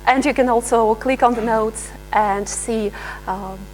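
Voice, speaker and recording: A woman researcher giving a live software demonstration, pausing often with fillers and restarting her sentences. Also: a little high, fairly even intonation, slight background noise, rough recording. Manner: hesitant, many filler sounds and restarts. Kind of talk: live software demo talk.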